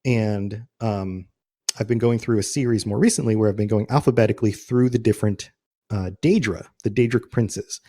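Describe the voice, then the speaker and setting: A man's voice: on the low side, moderate pace, warm tone. Chatty, informal man hosting a podcast. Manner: chatty, informal, often chuckling. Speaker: podcast host. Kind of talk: casual interview chat about video game lore.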